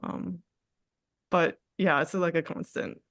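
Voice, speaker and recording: A woman artist in a candid interview, speaking reflectively with frequent fillers, restarts and soft laughs. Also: fairly low pitch, fairly even intonation, slow, dry recording, clean studio sound. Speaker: artist guest.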